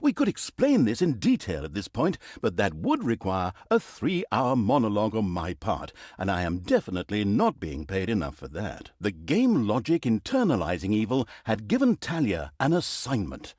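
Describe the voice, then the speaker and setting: A man's voice: on the low side, huge pitch swings, moderate pace, few pauses, a little mellow, no room sound, studio quality. A man playing a snarky, self-aware storyteller in a fantasy video game, narrating with pompous, mock-grandiose flourish and wry asides. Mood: wry, mock-grand.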